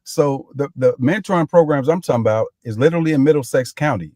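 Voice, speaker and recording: A man's voice: medium pitch, quick pace, warm tone, no echo. A man with a mid-range voice pushes back in a podcast argument, stumbling over his words and using casual slang. The sound is close and dry. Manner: pushy, stammering restarts, street slang. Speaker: mentoring program assistant director. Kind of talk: heated debate.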